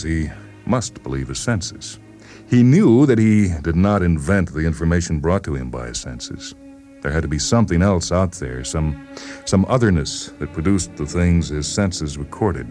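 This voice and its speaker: A man narrating a literary short story in a deliberate, brooding way with dramatic pauses. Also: a bass voice, a little mellow. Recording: music behind the voice. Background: music.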